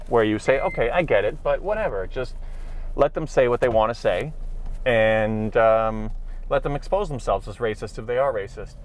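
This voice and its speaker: A man talking earnestly to camera in a casual vlog from his car, thinking aloud with restarts. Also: medium pitch. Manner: earnest, talks through ideas with restarts. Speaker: vlogger. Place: in a car on a city street. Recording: street noise behind voice. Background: traffic and city noise.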